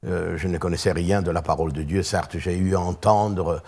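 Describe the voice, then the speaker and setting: Low-voiced older Congolese pastor. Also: male voice, fairly even intonation, a little mellow, slightly rough. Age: 60s. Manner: many filler pauses, measured storytelling. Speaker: pastor guest. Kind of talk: hesitant, thoughtful recounting. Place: television studio.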